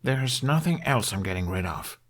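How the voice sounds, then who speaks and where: A man's voice: lowish voice, animated, normal speed, a bit bright. A man voicing a grumpy, easily embarrassed young man in an audiobook, grumbling and forbidding in manner. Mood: exasperated.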